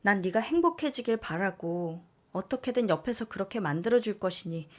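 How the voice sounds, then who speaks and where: A woman reading the lines of a kind, gentle young husband in a plain, reassuring way. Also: medium pitch, lively intonation, a little fast, natural voice.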